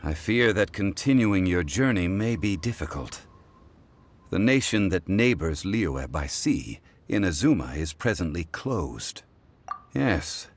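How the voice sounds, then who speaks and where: An actor playing a calm, dignified ancient god living as a mortal in a game, speaking in a measured, formal and reflective manner. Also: male voice, low voice, a little hiss or hum. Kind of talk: scripted game cutscene voice acting. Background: soft game music.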